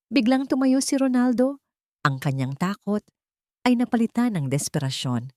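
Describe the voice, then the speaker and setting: A woman's voice: fairly high pitch, sing-song, normal speed, a little mellow, no room sound, studio quality. A woman narrating a dramatic first-person audiobook story, reading from a script.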